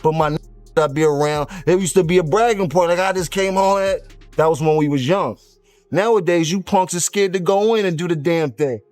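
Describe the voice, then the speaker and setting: Higher-pitched American man talking street life. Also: a little fast, darkish tone, no room sound, soft background music. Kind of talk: casual street commentary.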